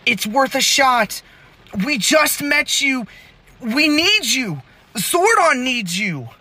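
A man's voice: extremely high pitch, sing-song, slow pace, bright, forceful tone, exaggerated voice. A man playing an earnest, loyal young hero ranger in an audio drama. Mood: urgent, pleading.

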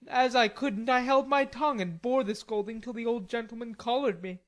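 Stubborn, hot-tempered teenage lad, played by a female reader; storybook dialogue, emphatic, higher-pitched delivery. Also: moderate pace, lightly acted. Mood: indignant. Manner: emphatic, protesting. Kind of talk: audiobook character reading.

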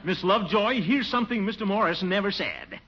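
A man's voice: high voice, brisk, sharp tone, husky, full character voice. An actor playing a pompous middle-aged man in a 1940s radio sitcom, grumbling in exasperated asides and bursting out indignantly. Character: blustery, pompous middle-aged man harried at his office. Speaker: title character of a radio sitcom. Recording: hissy, muffled 1940s radio broadcast.

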